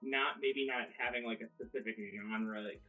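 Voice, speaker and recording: A man's voice: mid-range voice, steady tone, moderate pace, sharp tone. A man in a band, talking casually and hesitantly about their music. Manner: hesitant, lots of restarts. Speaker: band member. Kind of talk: casual interview chat. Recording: tinny phone-like audio with faint music.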